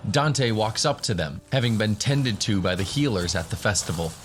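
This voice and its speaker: A man's voice: lowish voice, lively intonation, moderate pace, slight background noise. A man narrating a scripted fantasy adventure as the storyteller of an audio drama. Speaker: narrator, game master.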